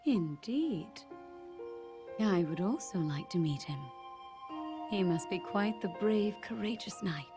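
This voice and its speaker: A woman playing a gracious, composed queen in a video game cutscene, speaking in a measured, polite way. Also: medium pitch, animated. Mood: gracious, reassuring. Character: gracious, serene adult queen, warm and composed. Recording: studio voice under prominent music. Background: orchestral game music.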